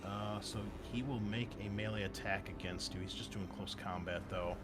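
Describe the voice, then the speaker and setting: A man's voice: fairly low pitch, steady tone, normal speed. A man running a tabletop roleplaying game as game master, improvising action and rulings in a casual, profane way, thinking aloud. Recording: loud music behind the voice. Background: music.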